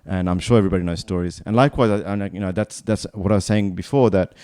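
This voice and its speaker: A very deep-voiced man in his thirties, born in Bangladesh, chatting as a podcast guest. His tone is warm and smooth, and he thinks aloud, circling round his point and correcting himself as he goes. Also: fast, no room sound. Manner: thoughtful, meandering, self-correcting. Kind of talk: casual conversation.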